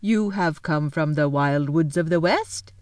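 A woman voicing a polite, fussy, slightly melancholy male faun who speaks slowly and formally. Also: low-pitched, darkish tone, no room sound, studio quality. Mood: wistful. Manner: courteous, slow and formal.

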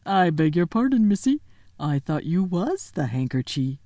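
A woman voicing a huge, good-natured male giant who talks slowly in a polite rustic dialect. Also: on the low side, big pitch swings, warm tone, dry recording. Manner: slow, rustic dialect, deferential.

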